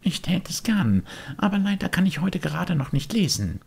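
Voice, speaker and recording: A man's voice: sits high, sing-song, moderate pace, gravelly, a little mellow, husky, voice pushed up, full character voice. A man voicing a naive, eager little wooden puppet boy who chatters impulsively in a children's storybook. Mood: curious, pleading.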